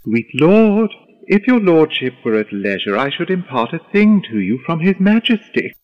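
An actor playing a foppish, flattering courtier in a Shakespearean audio drama, with a mannered, flowery, deferential delivery. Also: male voice, a little high, normal speed, no room sound, lo-fi sound. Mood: obsequious. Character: foppish, flattering young male courtier, wordy and eager.

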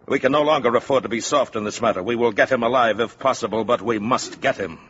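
An actor playing a commanding, stern army general in 1950s radio drama, formal and authoritative. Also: male voice, medium pitch, fast talker, fairly bright tone, husky. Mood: stern, resolute. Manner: authoritative, clipped formal address. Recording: dull, slightly noisy 1950s radio.

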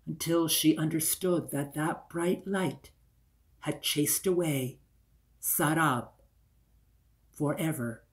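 Older man, slow dramatic storyteller. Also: fairly high pitch. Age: older, 60s. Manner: deliberate, repeats phrases for effect. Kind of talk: dramatic oral folktale storytelling. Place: small room indoors by a window.

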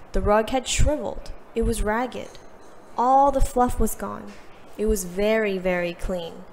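A woman with a mid-range voice reads a children's storybook aloud. Her delivery is expressive, with the characters' lines acted out. Some noise sits behind her voice. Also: mid-range voice, big-room sound. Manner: animated delivery, acting out the characters' quoted lines. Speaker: storybook reader. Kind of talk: expressive read-aloud of a children's picture book. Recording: clearly noisy background.

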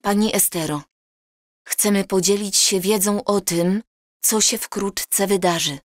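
An actress playing an earnest, courteous and insistent time traveller in an audio drama. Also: female voice, mid-range voice, unhurried, no echo, clean studio sound, close to an everyday voice. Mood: earnest, pleading. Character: earnest, pleading granddaughter from the future.

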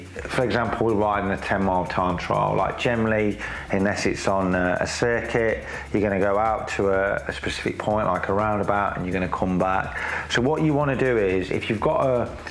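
A man's voice: fairly low pitch, normal speed. A man in his forties, a cycling coach, explaining technique to camera in a loose, chatty way full of fillers and restarts, seated in a small room. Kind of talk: casual explanatory talk to camera. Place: small room with grey wall, seated in chair. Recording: echoey room.

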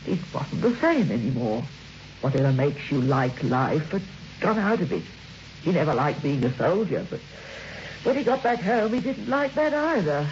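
A woman's voice: low-pitched, very animated, normal speed, darkish tone. An actress playing a gossipy, plain-spoken, working-class woman in an old radio drama, hesitant and matter-of-fact. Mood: pensive. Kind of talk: old-time radio acting. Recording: dull, noisy old radio recording.

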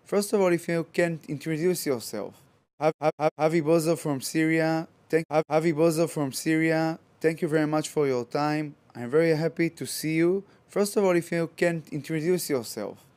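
A man's voice: on the high side, normal speed, darkish tone, clean tone. Man asking interview questions. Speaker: news interviewer.

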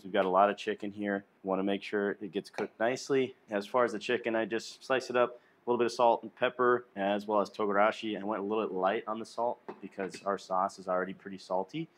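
A young man talking viewers through a recipe in a small studio kitchen set, relaxed and chatty, with music playing underneath. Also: lowish voice. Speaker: cooking vlogger. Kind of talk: casual cooking demonstration. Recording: clear background music under voice.

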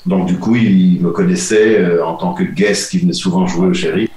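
A man in his forties, a DJ guest speaking in a relaxed, halting way, repeating words as he searches for them, on a video call from a home studio. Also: low voice. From Belgium. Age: 40s. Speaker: DJ and producer guest. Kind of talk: casual interview on a video call. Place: home music studio, indoors. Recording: roomy video call audio.

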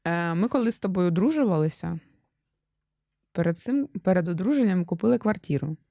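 A woman's voice: lowish voice, expressive, fairly quick, gravelly, mellow, no echo, studio quality. A young Ukrainian woman hosting a casual podcast, chatty and teasing, often stumbling over her words as she questions her husband. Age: young adult. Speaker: podcast host.

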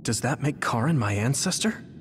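A man's voice: medium pitch, lively intonation, moderate pace, fairly bright tone, slight background noise, close to an everyday voice. A man playing an earnest, idealistic young hero in an anime game dub, speaking sincerely. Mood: hopeful, resolute.

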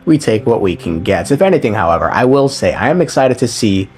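A man's voice: fairly low pitch, sing-song, brisk, a little mellow. A man giving a casual, sarcastic recap commentary of a game story, with profane, irreverent humor. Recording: voice over background music. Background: background music.

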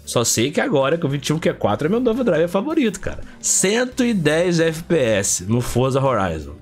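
A man's voice: fairly high pitch, huge pitch swings, a little fast, faint music behind. A man hosts a casual tech vlog, congested with a heavy cold, speaking emphatically and colloquially and repeating phrases for effect. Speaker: tech YouTube channel host.

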